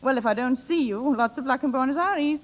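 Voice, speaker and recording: An actress playing a meddling, gushy and insincere married older sister in 1930s radio style. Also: female voice, sits high, a little fast, lightly acted. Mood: sweetly false. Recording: muffled 1930s radio recording.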